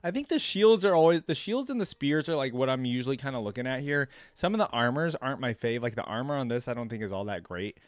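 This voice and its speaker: A man's voice: medium pitch, animated, fast, hardly any pauses, dry recording, clean studio sound. A man giving casual reaction commentary as a video host, chatty and thinking aloud as he goes. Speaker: host of a gaming reaction channel.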